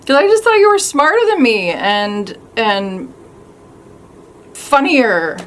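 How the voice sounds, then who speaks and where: A woman's voice: medium pitch, normal speed, a bit bright, husky. A woman acting a wounded, furious character in an emotionally raw, emphatic dramatic monologue. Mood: hurt, accusing. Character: wounded, furious woman confronting someone who failed her.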